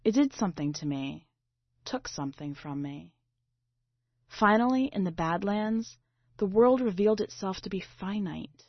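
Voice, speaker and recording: A woman reading a literary short story aloud in a measured, dramatic way. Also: medium pitch, expressive. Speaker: story reader. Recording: slightly dull, boxy roomy recording.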